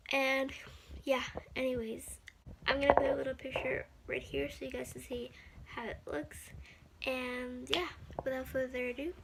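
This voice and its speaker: A young woman with a high voice and a bit of gravelly fry, slightly hoarse from a recent cold. She talks upbeat and chatty, explaining her makeup steps straight to a close camera in a small room at home. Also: a little hiss or hum, rough recording. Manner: chatty and upbeat, quick asides. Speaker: beauty vlogger. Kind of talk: makeup tutorial narration.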